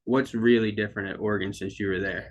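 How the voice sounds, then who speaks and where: A man with a slightly low-pitched voice acts as interviewer. He puts his questions conversationally, in a plain everyday style. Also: steady tone, fast talker.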